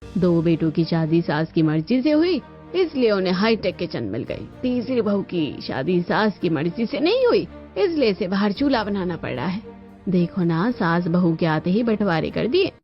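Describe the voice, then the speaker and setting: A woman's voice: on the low side, sing-song, normal speed. A woman voicing a gossipy, disapproving neighbour in a cartoon family story. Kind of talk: Hindi cartoon story voice acting. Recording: dull lo-fi audio over music.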